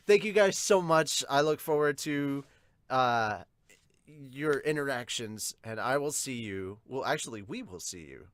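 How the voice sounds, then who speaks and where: Man, casual vlog host, chatty, self-deprecating, informal, medium pitch, very dry recording. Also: fairly bright tone, no room sound. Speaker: host of an anime reaction channel.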